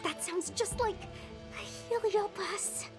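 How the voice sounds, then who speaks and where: A woman's voice: very high voice, slow, over music. A woman playing an earnest, youthful girl warrior in a video game. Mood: alert, concerned. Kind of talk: animated video game dialogue.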